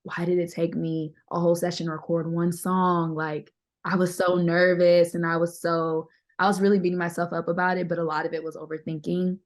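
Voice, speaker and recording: A woman speaks as a guest in a casual interview. Her voice is on the deeper side, and her delivery is candid and conversational, with repeated phrases for emphasis. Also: level tone, a little fast. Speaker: interview guest, singer-songwriter. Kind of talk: casual chat.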